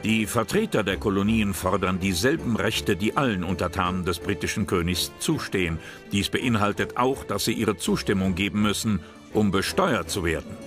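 A man narrating a history documentary drama in a measured, serious way. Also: on the low side. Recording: narration over loud music.

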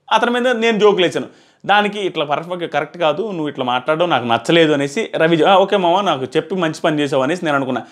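A man's voice: high voice, fairly bright tone. A man gives a casual spoken review to camera, explaining and repeating his points for emphasis. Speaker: reality show reviewer. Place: small plain indoor room. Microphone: clip-on lapel mic.

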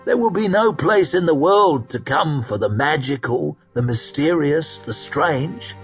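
A man voicing Koska, a scheming, grandiose male adventurer who looks down on ordinary people, in a haughty, drawn-out way. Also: fairly high pitch, animated, dull audio, faint music behind, voice pushed up. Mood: scornful. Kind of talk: audiobook character reading.

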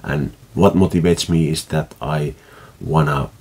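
Low-voiced Finnish man, slow and husky. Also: steady tone, a little mellow. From Finland. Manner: halting, many fillers and restarts, gestures with hands. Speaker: vlogger. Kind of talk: casual talk to camera. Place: small room at home with bookshelf, indoors.

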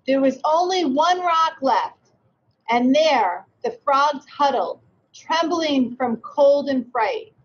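A woman's voice: sits high, expressive, slow pace. A woman hosting a read-aloud, reading and chatting with children in a simple, encouraging way. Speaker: read-aloud host, educator. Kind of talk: storybook reading and friendly discussion. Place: large meeting room indoors. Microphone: video call, distant from camera. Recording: dull video-call audio, faint noise.

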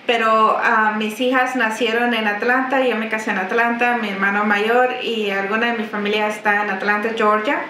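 High, bright-voiced woman, chatty guest in a roomy office. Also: little pitch movement, moderate pace, few pauses. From Texas–Mexico border. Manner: chatty, warm, laughs often. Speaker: business owner guest. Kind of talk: interview answers. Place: office, seated at desk. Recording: roomy office with faint noise.

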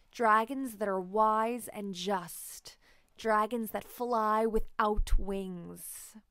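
A woman reading a children's story aloud expressively, with deliberate, emphatic phrasing. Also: fairly high pitch, fairly bright tone, no room sound, clean studio sound. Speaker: children's book narrator.

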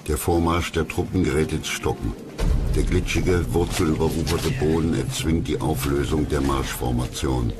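A man reading scripted history documentary narration in a measured, grave manner. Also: very deep voice, fairly even intonation. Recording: loud music under narration.

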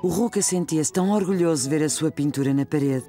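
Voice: mid-range voice, fast talker, music in the background, lightly acted. A narrator with a European Portuguese accent reading scripted story narration for a children's cartoon.

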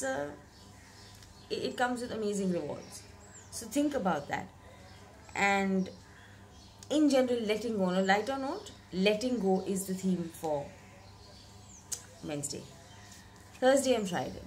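Slightly hoarse woman giving tarot reading. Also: a little high, lively intonation, unhurried, pauses often, a little hiss or hum. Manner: reflective, repeats words for emphasis. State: hoarse from a cold. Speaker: tarot reader. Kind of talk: weekly tarot reading to camera. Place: bedroom with curtained window, indoors.

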